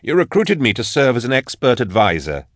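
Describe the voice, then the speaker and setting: A man's voice: mid-range voice, sing-song, brisk, no echo, clean studio sound, pitched above the performer's own voice, lightly acted. A man voicing an eccentric male tech-priest adviser, pushy and teasing. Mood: insistent.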